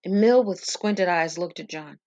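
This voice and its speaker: A woman's voice: lowish voice, unhurried, darkish tone. A woman giving an earnest, suspenseful first-person narration of a dramatic story.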